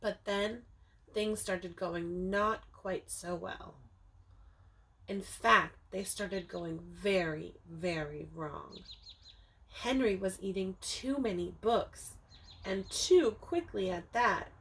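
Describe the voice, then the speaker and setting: A woman teacher reading a picture book aloud to young children, expressively, with dramatic emphasis and drawn-out words, speaking to them directly. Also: medium pitch, a bit bright, a little hiss or hum. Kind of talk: animated children's storybook read-aloud.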